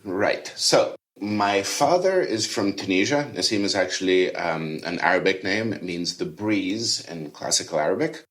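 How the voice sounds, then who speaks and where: A man's voice: low-pitched, lively intonation, a little slow, few pauses, fairly bright tone, low-quality recording. A middle-aged man raised in Rome, a chef and food tour guide, chatting enthusiastically in a podcast interview. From Rome, Italy. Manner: chatty, enthusiastic, casual fillers.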